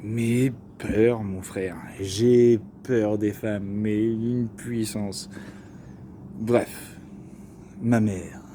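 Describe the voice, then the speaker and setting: Slangy Parisian man, dark mellow voice. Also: medium pitch, a little hiss or hum. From Paris region. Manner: slangy, joking asides, many fillers. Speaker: vlogger. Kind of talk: casual storytelling to camera.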